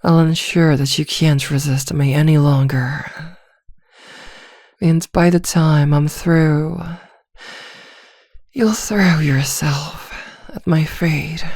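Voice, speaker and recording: A woman playing a seductive, domineering female vampire hypnotist in an ASMR roleplay, speaking softly, deliberately and with teasing command. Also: deep voice, dry recording, clean studio sound, heavily acted.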